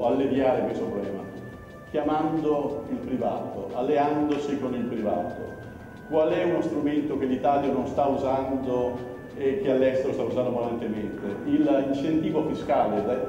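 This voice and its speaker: A man speaking at a public event in a steady delivery, stumbling over words at times. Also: medium pitch. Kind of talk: public talk. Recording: dull, echoey hall from far off.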